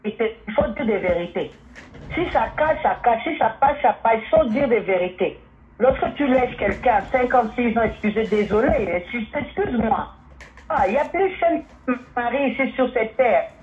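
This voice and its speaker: A woman whose first language is Portuguese speaks emphatically down a tinny phone line, often repeating her point. Her voice sits fairly high. A faint hiss runs under the call. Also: expressive, moderate pace. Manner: emphatic, repeats herself, exclaims hallelujah. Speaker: caller. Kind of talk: opinionated phone-in talk.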